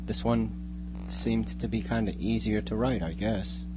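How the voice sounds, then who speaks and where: Halting male guest over noticeable hum. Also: fairly low pitch, steady tone. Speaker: guest, amateur scriptwriter. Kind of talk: casual interview answers. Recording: noticeable hum and background noise.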